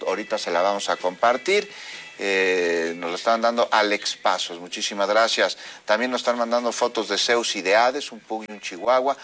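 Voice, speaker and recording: Male Mexican morning radio host, lively and chatty, bright forceful voice. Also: medium pitch. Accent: Mexican Spanish. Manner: lively, chatty, reads listener messages. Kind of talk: morning news radio hosting.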